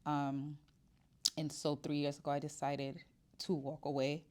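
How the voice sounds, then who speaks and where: Low-voiced chatty woman in her forties. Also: level tone, a little slow, mellow. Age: 40s. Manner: chatty and candid, with filler words and restarts. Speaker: vlogger. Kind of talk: casual personal vlog. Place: her wig shop.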